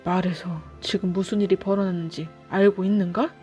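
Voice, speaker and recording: A woman's voice: on the low side, fairly even intonation, a little fast, dark tone, lo-fi sound, faint music behind, close to an everyday voice. A woman voicing a brave village hero man in a storybook, speaking in a firm, solemn manner. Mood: grave.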